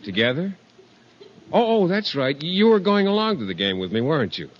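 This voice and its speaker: An actor playing a naive, shy biology teacher, earnest and hesitant, in a 1950s radio comedy. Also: male voice, fairly high pitch, big pitch swings, normal speed, highs cut off. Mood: puzzled. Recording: vintage 1950 radio, faint noise.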